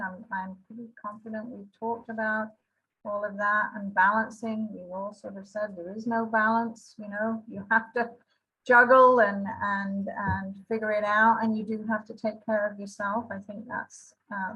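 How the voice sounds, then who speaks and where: A woman's voice: medium pitch, fairly bright tone, slightly rough, highs cut off. A woman moderating a panel discussion on a video call, hesitating and restarting as she moves through questions. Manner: halting, with fillers and restarts.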